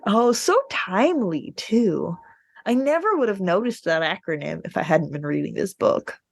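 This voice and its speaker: A woman chatting casually as a podcast co-host, telling things in an animated, digressive way. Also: medium pitch, normal speed, vocal fry, dry recording, studio quality. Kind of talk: casual book discussion chat.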